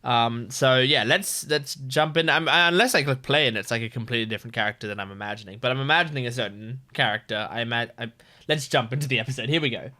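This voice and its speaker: Rambling man reacting casually, bright voice. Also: mid-range voice, fast. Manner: rambling, informal, swearing. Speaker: reaction video host.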